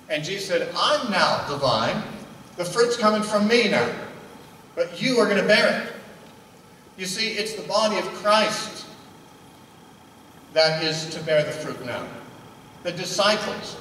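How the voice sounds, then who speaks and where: An older man preaches a sermon, posing questions to the room and answering them. His voice sits high for a man. The recording is roomy, with a long hall reverb, and he sounds a bit away from the mic. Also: sharp tone, far from the mic, slight background noise. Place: indoor meeting room. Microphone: handheld mic.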